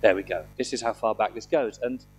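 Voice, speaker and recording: A middle-aged man with a slightly croaky voice from a throat infection, giving an informal, self-deprecating technical talk with dry humour into a handheld microphone. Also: fairly low pitch, a little fast, soft background music. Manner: self-deprecating, dryly humorous. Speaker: conference speaker. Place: indoor conference room, speaking on stage.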